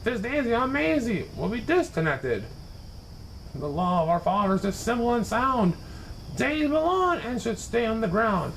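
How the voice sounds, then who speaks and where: A man's voice: very high-pitched, big pitch swings, moderate pace, vocal fry, a bit bright, a little hiss or hum, full character voice, poor audio. A man voicing a pompous, long-winded mayor making grandiose speeches in a storybook. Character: pompous long-winded male mayor, full of hot air.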